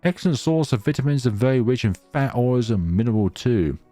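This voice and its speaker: A man's voice: mid-range voice, expressive, fairly quick, warm tone, no echo, soft background music, lightly acted. A man voicing a polite, reserved young woman in a visual novel, courteous and matter-of-fact.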